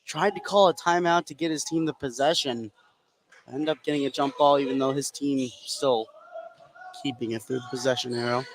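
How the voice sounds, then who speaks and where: A man's voice: mid-range voice, steady tone, moderate pace, slight background noise, low-quality recording. A man giving conversational live analysis as color commentator on a basketball radio broadcast.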